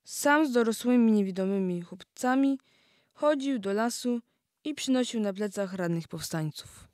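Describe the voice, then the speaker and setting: Higher-voiced woman, dry recording. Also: expressive, moderate pace, a little mellow, no room sound. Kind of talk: scripted reading in a historical radio play.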